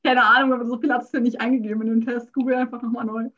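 A woman in a casual chat, teasing her friend and quick to laugh. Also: fairly high pitch, level tone, fairly bright tone, dull audio.

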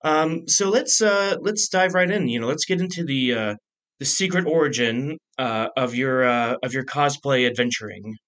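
A man in his thirties hosting a podcast, chatting casually in a halting way with frequent false starts. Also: mid-range voice, a bit bright. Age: 30s. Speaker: podcast host. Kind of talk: casual conversational interview.

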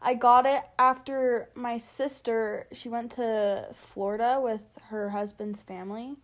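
A young woman with a fairly high voice chats casually with a friend. She tells long stories, packed with "like" and quick laughs. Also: fairly even intonation. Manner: chatty storyteller, lots of "like" and laughs. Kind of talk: casual chat.

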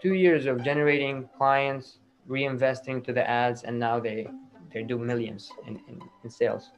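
A man giving a reassuring, persuasive sales pitch as a marketing agency salesman on a recorded call. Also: steady tone, normal speed, rough recording. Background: music.

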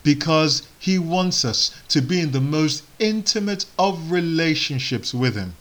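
Slow, measured male preacher, Igbo native. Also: fairly high pitch, animated, fairly bright tone. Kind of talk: sermon.

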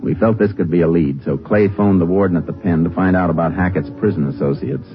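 A male actor plays a steady, shrewd Texas lawman from a 1950s radio crime drama. His voice is very low and authoritative, delivered matter-of-factly. The old recording is muffled, with music in it. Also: quick pace, natural voice. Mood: measured, factual. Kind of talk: 1950s radio drama acting and case narration.

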